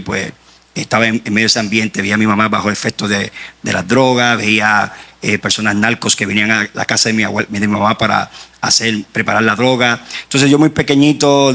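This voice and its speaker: Man, Puerto Rican accent, bright tense voice, guest testimony, earnest and halting. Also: lowish voice. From Puerto Rico. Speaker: guest author. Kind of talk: personal faith testimony.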